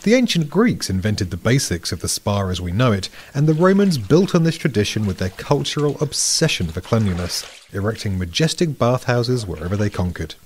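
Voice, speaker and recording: A British man giving a scripted podcast explanation, measured and dryly witty. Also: lowish voice, sing-song, a little hiss or hum.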